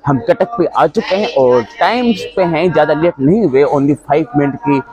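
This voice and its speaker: A man's voice: fairly high pitch, moderate pace, crowd noise. A man in his twenties chatting informally as a travel vlogger, recorded on a phone aboard a crowded train. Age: 20s. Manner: chatty, informal, addressing viewers as friends. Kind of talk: casual travel vlog narration and chat with passengers. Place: crowded train carriages and railway platforms. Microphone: phone at arm's length. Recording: loud train and outdoor ambient noise.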